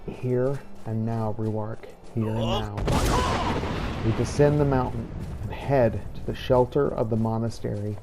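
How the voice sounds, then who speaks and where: A man's voice: medium pitch, fairly even intonation, a little slow. A man hosting a game playthrough, reading scripted role-play narration as a dramatic storyteller. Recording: faint background noise.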